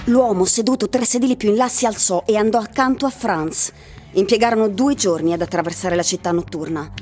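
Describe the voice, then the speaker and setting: An actress as the composed narrator of a radio drama, reading literary prose precisely. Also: female voice, medium pitch, fairly quick, darkish tone, close to an everyday voice. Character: composed female narrator of a dystopian story. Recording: music under the voice.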